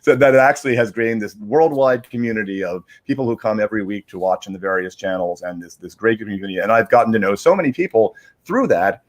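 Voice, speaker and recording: A man chatting casually on a livestream, explaining in a halting, rambling way and repeating words as he searches for phrasing. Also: lowish voice. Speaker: digital media strategist, guest reader.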